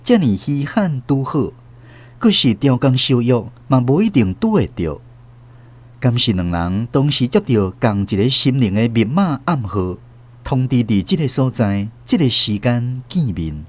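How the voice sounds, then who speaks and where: A man's voice: mid-range voice, very animated, measured pace, a little mellow. An actor playing an elderly grandfather with a Taiwanese accent, narrating a radio drama in a tender, wistful, literary way. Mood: tender, reflective. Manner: literary, emotive storytelling.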